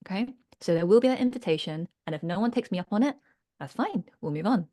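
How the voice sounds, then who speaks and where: A woman's voice: mid-range voice, animated, quick pace, mellow. A woman coaching business owners in a reflective, earnest online workshop, often checking in with her listeners. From United Kingdom.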